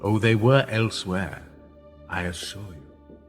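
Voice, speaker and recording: An actor in a radio play voicing a worldly, powerful establishment insider, speaking in a pedantic, suavely condescending way. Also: male voice, lowish voice, measured pace, close to an everyday voice. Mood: dry storytelling. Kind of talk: radio drama dialogue. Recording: long reverberant hall, music underneath. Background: music.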